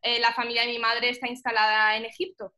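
A woman's voice: on the high side, level tone, quick pace, sharp tone. A young woman raised mostly in Egypt, chatting casually as an interview guest. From Middle East, mostly Egypt. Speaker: powerlifting athlete and coach, podcast guest.

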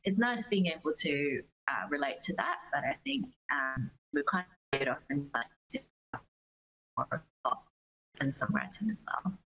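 A woman's voice: deep voice, sing-song, a little slow, creaky, fairly bright tone, slight background noise, poor audio. A young woman, a singer-songwriter, chatting casually as an interview guest.

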